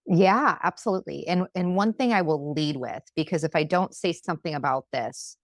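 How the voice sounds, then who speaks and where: A woman doctor guesting on a podcast, speaking deliberately and emphatically, slowing down to stress her key words. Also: deep voice, dry recording, clean studio sound. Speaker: functional medicine doctor, guest. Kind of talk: podcast interview.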